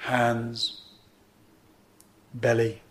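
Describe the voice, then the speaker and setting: A man teaching meditation, guiding a sitting in gentle, measured phrases with pauses between them. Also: mid-range voice, monotone. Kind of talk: guided meditation instruction.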